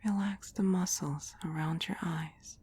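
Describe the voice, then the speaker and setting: A woman whispering a guided meditation in a breathy, soothing, deliberate voice with long pauses between phrases. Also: lowish voice, fairly even intonation, a little mellow. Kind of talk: whispered guided relaxation, ASMR-like scripted narration.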